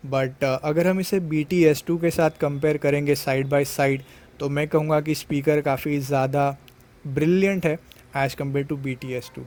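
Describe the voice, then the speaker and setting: A man's voice: a little high, fairly even intonation, unhurried, darkish tone. A man narrating a product unboxing and review, explaining things step by step and mixing in English words.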